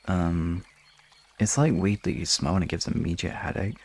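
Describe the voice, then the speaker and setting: A man's voice: low voice, animated, moderate pace, gravelly, a little mellow, slightly rough. A man chatting casually with his audience in an informal, rambling way, swearing now and then. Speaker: ASMR streamer chatting with an audience. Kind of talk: casual chat, reading and answering comments.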